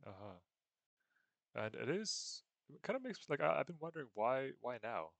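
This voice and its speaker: A young man co-hosting a casual podcast, easygoing and quick to laugh. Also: medium pitch, big pitch swings, gravelly, a bit bright, dry recording. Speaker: podcast co-host. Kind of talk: casual chat.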